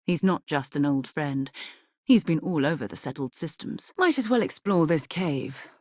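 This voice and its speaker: An actress voicing a composed, dry-witted woman explorer and leader in a video game, speaking in a measured, articulate way. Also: sits low, lively intonation, darkish tone, dry recording. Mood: calm, wry. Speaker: companion character. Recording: dry studio recording.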